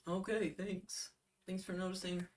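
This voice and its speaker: A woman with a low voice, co-hosting a livestream with brief chatty remarks. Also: flat delivery, measured pace, darkish tone, recorded from a distance. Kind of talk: casual chat.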